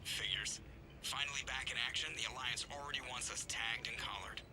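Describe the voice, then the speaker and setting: An actor playing a wry, grumbling male spaceship pilot in a video game, with a sardonic manner and clear background noise. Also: mid-range voice, brisk, lightly acted. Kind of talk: video game cinematic dialogue acting.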